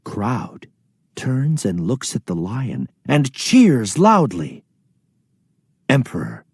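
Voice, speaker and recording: A man's voice: medium pitch, huge pitch swings, slow pace, darkish tone, breathy edge, no echo, studio quality. A man narrating a scripted children's play, reading out stage directions and story.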